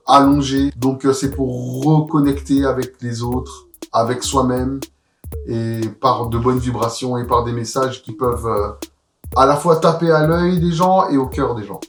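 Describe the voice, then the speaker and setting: A man's voice: medium pitch, reverberant. A man in his forties from Paris, France, answering casual interview questions about his own creative work. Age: 40s. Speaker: interviewee, musician and graphic artist.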